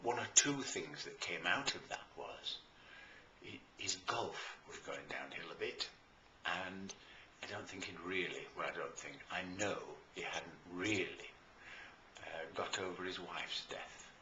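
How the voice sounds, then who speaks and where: A British man speaking as a coach promoting his services in an informal, enthusiastic and emphatic talk with deliberate pauses, recorded in a roomy space. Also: sits low, sharp tone. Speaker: life and business coach promoting own services. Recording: roomy echo, faint background noise.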